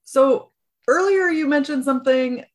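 A woman's voice: sits high, a little slow. A woman hosting a conversational interview, talking casually and using "like" a lot. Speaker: podcast host.

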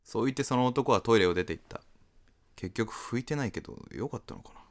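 A man's voice: medium pitch, lively intonation, fast talker, natural voice. A man playing a polite, mildly puzzled fellow who narrates his own encounter in an amateur comedic radio drama. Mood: wry narrating.

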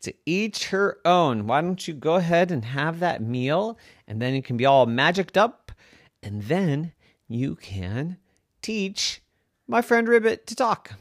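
A storyteller voicing a lonely, whiny pig character in a high, pleading voice for a bedtime story. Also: sing-song, takes it slowly, no room sound, studio quality. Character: whiny lonely pig who wants a friend.

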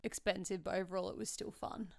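A young Australian woman with a loud voice chats casually, leaning on "like" as she goes. Her tone is smooth and clear, with a little gravel at the ends of phrases. It sounds close and dry. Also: medium pitch, flat delivery, fast talker, no echo. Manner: chatty, fills with "like" often. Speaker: podcast co-host. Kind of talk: casual travel chat.

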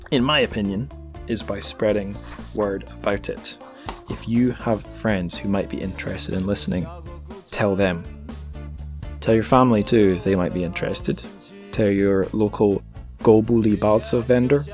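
A man's voice: on the low side, darkish tone. A man hosting a podcast, chatting casually in a rambling, jokey, self-deprecating way. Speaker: podcast host. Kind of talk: casual solo podcast outro, chatty plugs for the show.

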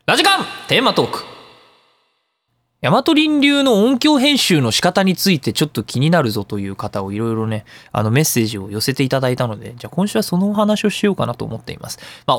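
Male radio host, polite and self-joking explainer, fast and animated, casual studio talk. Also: on the high side, dry recording. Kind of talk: casual solo talk show on audio production. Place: recording studio.

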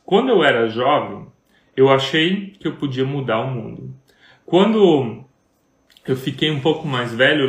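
A man's voice: mid-range voice, fairly bright tone. Earnest Brazilian man in an echoey room. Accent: Brazilian Portuguese. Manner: earnest, preacherly, asks many questions. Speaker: marriage talk co-host.

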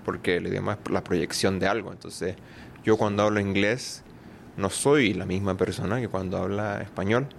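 A man with a Chilean Spanish accent talks casually as an interview guest, his low voice rough with fry. He laughs often and keeps checking in with tag questions. A faint hiss sits under the recording. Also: steady tone, moderate pace.

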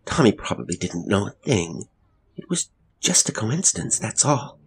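A man voicing a distraught, defensive young mother in an audiobook story, agitated and emphatic and quick to anger. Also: lowish voice, sing-song, slightly rough, voice pushed up, heavily acted. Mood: upset, pleading.

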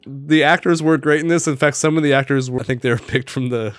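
A man's voice: mid-range voice, fast talker, dry recording, studio quality. A man co-hosting a podcast, chatting casually about a TV series in a rambling, laughing way. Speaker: podcast co-host. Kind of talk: casual chat reviewing a TV series.

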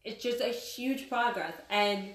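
A woman's voice: fairly high pitch, a little slow, far from the mic. Earnest woman in her thirties, roomy sound. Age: 30s. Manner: earnest, candid storytelling with small laughs. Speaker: guest, martial arts instructor. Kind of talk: interview chat.

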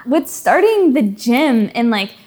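A woman's voice: fairly high pitch, big pitch swings, slow, a little mellow. A young woman hosting a podcast, asking effusive questions full of "like" fillers. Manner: effusive, upbeat, frequent "like" fillers. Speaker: podcast host. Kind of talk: interview questions.

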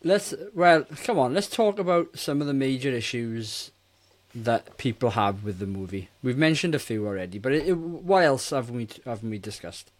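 A man in his forties talks as one of the hosts of a relaxed movie review conversation. His voice is mid-range in pitch. The delivery is informal and conversational. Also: moderate pace. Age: 40s. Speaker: podcast co-host. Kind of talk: casual film review chat.

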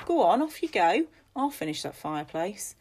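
A woman voicing a kind, brisk, motherly housekeeper who chivvies along the staff. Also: mid-range voice, sing-song, darkish tone. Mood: chivvying. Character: kind, brisk female housekeeper of a Victorian manor.

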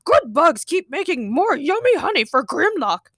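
A woman's voice: very high-pitched, big pitch swings, moderate pace, fairly bright tone, dry recording, clean studio sound, voice pushed up, heavily acted. A woman voicing a huge, greedy robotic T-Rex in a storybook reading, bellowing in broken caveman-like sentences. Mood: gleeful greed.

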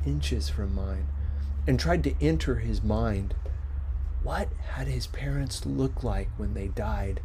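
Guy reading a story out loud, takes it slow, dramatic phrasing, bit of background noise. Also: medium pitch, low-quality recording. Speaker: audiobook-style reader. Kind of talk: expressive scripted story narration.

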